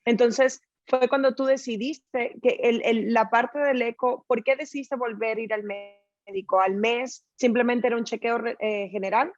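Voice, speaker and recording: A woman interviewer with a Latin American Spanish accent, pitched a little high, who keeps checking details with short follow-up questions. The sound is dry and close, with a somewhat dull, muffled tone. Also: fairly even intonation, moderate pace, no room sound. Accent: non-Argentine Latin American Spanish. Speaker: interviewer host.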